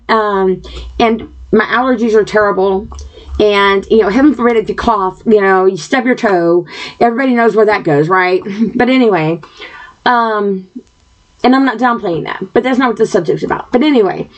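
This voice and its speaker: A woman hosting a podcast, talking earnestly from home into her computer microphone, wandering off topic with lots of fillers and throat clearing, her mouth dry. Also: mid-range voice, moderate pace, low-quality recording. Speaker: podcast host. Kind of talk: rambling personal monologue.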